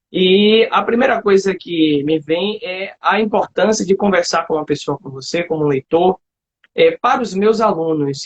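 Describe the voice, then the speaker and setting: A man from Salvador with a Bahian accent hosting a casual, colloquial live chat online. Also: a little high. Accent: Bahian Brazilian Portuguese. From Salvador, Bahia, Brazil. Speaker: host, literature teacher. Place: online video live, remote call. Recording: dull lo-fi livestream audio.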